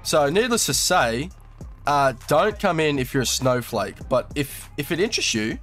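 A young Australian man talks over his own gameplay, crude and relaxed, swearing freely. His voice is a little nasal from a cold. There is a faint music bed underneath. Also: on the high side, normal speed. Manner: crude, swearing, laid-back slang. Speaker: gaming YouTuber. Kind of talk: casual commentary over gameplay.

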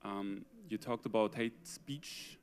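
A man's voice: lowish voice, slow pace, slight background noise. A man in the audience asking a question, hesitant with many fillers. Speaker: audience member.

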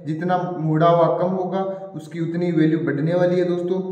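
A man's voice: fairly high pitch, flat delivery, brisk, darkish tone, lo-fi sound. A man, talking salesily to viewers and often repeating himself. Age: 20s. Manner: repetitive, keeps addressing viewers as friends. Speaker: video host. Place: small bare room indoors. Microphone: camera or phone set in front of him. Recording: very echoey room, distant microphone.

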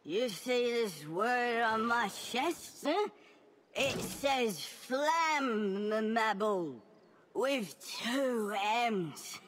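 A woman's voice: fairly high pitch, expressive, extremely slow, fairly bright tone, a little hiss or hum, full character voice. A woman voicing a drunken, rowdy, goofy female junkyard robot for a video game, with slurred, cheeky, playful delivery. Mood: tipsy taunting. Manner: tipsy, playful, jokey. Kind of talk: video game character voice pack.

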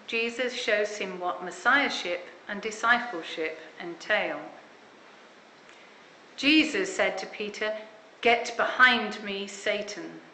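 Slow, measured woman vicar. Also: medium pitch, pushed and bright, roomy sound, slight background noise, poor audio. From Wales. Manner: slow, measured, emphatic reading. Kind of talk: scripture reading and sermon.

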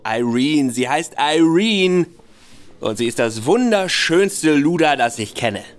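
A man's voice: fairly high pitch, expressive, takes it slowly. An actor playing a bitter, tipsy young nobleman who rambles spitefully in a radio play. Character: Sebastian Conroy, young nobleman, bitter, drinking, boastful.

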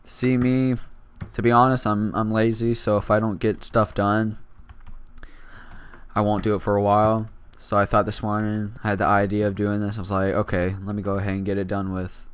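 A teenage boy hosting casual gameplay commentary, raspy from just waking up, rambling and self-deprecating with lots of fillers. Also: fairly low pitch, level tone, normal speed. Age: teens. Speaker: gaming channel host.

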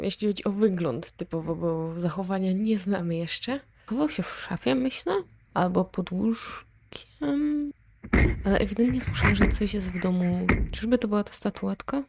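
A woman giving casual reaction commentary, reading text aloud and thinking out loud in a chatty, inquisitive way. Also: fairly low pitch, gravelly. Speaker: video creator reacting to analog horror.

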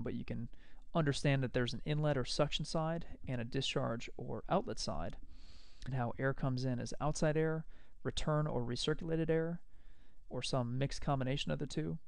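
Male instructor, gravelly edge, close and dry voice-over, steady technical delivery. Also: medium pitch, no room sound. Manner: explanatory, measured, technical. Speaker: lecturer. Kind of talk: recorded slide lecture.

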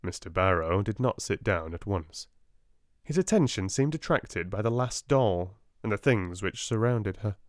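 A man with a British accent reading a classic children's novel aloud in a gentle, soft-spoken read-along style, close to the mic at a table indoors. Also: on the low side, dry recording. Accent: British English. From Britain. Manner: gentle, calm and unhurried. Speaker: audiobook narrator. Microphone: close mic over a book on a table.

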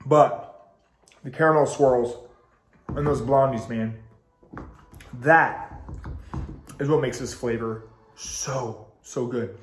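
A man in his thirties talks straight to the camera in an upbeat, chatty way. His nose is stuffed up from congestion. His voice sits at an ordinary pitch and carries a roomy echo from a small room at home. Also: fairly even intonation, takes it slowly, pauses often. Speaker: ice cream reviewer. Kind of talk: casual video review.

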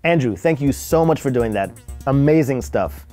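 A man's voice: medium pitch, expressive, moderate pace, mellow. Agreeable male host, music behind. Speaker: host interviewer. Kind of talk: casual guided product interview. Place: small studio room, indoors.

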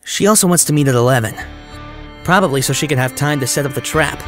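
A man's voice: a little high, quick pace, music in the background, lightly acted. A man voicing a young male hero with a secret identity, suspicious and defiant. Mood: wary. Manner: suspicious, defiant, talks back.

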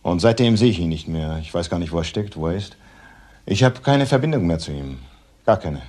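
An actor playing a guarded, evasive male ex-convict in a crime drama, with a husky voice and hesitant, curt answers. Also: sits low, animated, fairly quick, a little mellow.

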